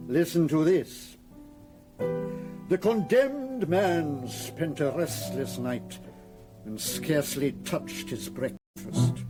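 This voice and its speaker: An actor playing a smooth, condescending crooked lawyer with a cultured, menacing, theatrical manner in a radio drama. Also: male voice, on the high side. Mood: mocking, amused. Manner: suave, theatrical, mocking.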